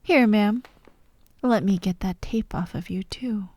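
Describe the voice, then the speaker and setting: A woman's voice: medium pitch, a little slow, a little mellow, no echo, close to an everyday voice. A woman playing a vengeful fired actress holding hostages in an ASMR audio drama, taunting and theatrical, often dropping to a whisper. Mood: mocking, menacing. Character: vengeful fired actress, sadistic hostage-taker directing victims.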